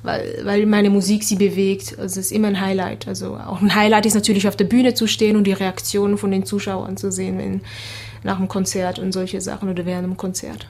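Woman, flat even speech. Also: medium pitch, a little fast, gravelly. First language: Creole. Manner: relaxed, hesitant with many fillers. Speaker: singer guest. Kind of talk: casual interview answers.

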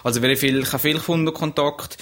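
A man's voice: a little high, steady tone, quick pace, a bit bright. Swiss German man, hesitant guest. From Switzerland. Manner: hesitant, many restarts. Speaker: interview guest. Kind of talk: casual radio interview.

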